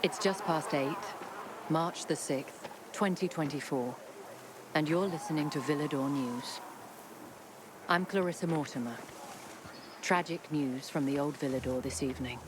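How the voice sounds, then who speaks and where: A woman playing a grave, principled radio news anchor in a game, with a solemn, measured, emphatic delivery. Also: deep voice, background noise. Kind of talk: scripted radio news bulletin. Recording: loud music bed under voice.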